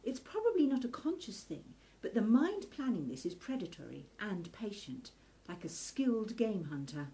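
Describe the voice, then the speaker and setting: A woman's voice: mid-range voice, sing-song, normal speed, mellow. A woman playing a clever, earnest young lady researcher in a steampunk radio play, speaking precisely. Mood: analytical. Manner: precise, articulate, explanatory.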